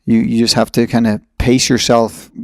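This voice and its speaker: A man's voice: medium pitch, little pitch movement, moderate pace, no room sound, clean studio sound. A middle-aged man talking in a casual, reflective and blunt way as he hosts a podcast conversation.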